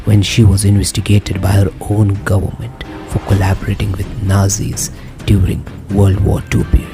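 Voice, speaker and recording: A man's voice: on the low side, completely flat delivery, normal speed. A man reading a scripted introduction as a podcast announcer. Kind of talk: scripted narration. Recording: loud music bed under voice. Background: music.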